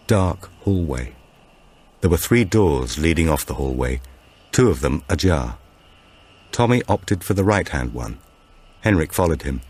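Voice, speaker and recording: A male audiobook narrator with a notably deep voice. He reads scripted suspense fiction as a storyteller. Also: darkish tone, husky.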